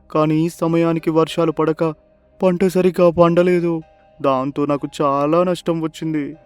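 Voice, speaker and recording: A humble village farmer from a moral-story cartoon, played by a man, rather high and smooth in voice, plaintive and deferential. The sound is dry, with faint music behind. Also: darkish tone, no echo, close to an everyday voice. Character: humble, troubled village farmer seeking help.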